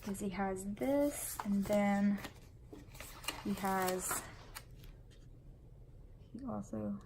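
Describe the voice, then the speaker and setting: A woman's voice: mid-range voice, extremely slow, vocal fry, noisy background. A sniffly woman with a runny nose, chatting in a rambling way while she decorates her planner at home. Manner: rambling and chatty, full of fillers. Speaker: planner vlogger and mom.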